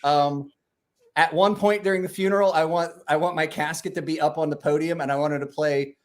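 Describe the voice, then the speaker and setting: A man's voice: on the high side, steady tone, fairly quick. A man talking casually as a livestream guest, rambling with many restarts and swearing.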